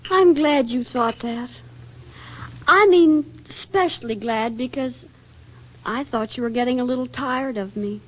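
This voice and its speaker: An actress playing a sweet, devoted, slightly insecure housewife in a 1940s radio comedy, tender and earnest. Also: female voice, sits high, measured pace. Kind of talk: 1940s radio drama acting. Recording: dull vintage radio drama recording.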